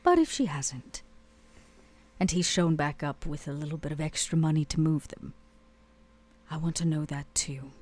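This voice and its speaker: A woman playing a haughty, world-weary vampire club owner in a tabletop game, speaking in a measured, dry way. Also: low voice, animated, darkish tone. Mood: weary, bitter.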